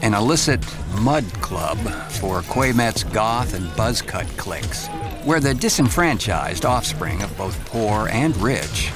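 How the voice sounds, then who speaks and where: An actor playing an earnest detective and storyteller in a cinematic radio drama, dramatic and deliberate. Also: male voice, fairly low pitch, animated. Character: earnest telepathic detective, also narrating the story. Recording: loud music under the voice.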